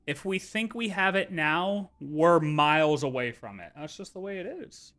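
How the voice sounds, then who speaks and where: Bright-voiced man reacting casually on a livestream. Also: fairly high pitch, animated, moderate pace. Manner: off-the-cuff, thinking aloud, self-interrupting.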